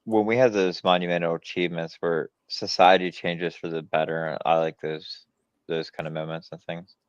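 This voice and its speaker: A man's voice: deep voice, level tone, moderate pace, clear, resonant tone, no echo. An American man talking casually in a group discussion over a slightly dull, lo-fi mic.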